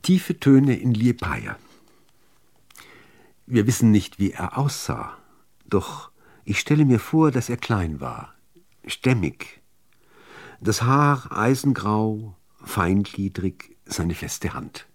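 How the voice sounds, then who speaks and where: A man reading literary prose as a radio narrator, in a measured, deliberate delivery with dramatic pauses. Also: fairly low pitch, sing-song.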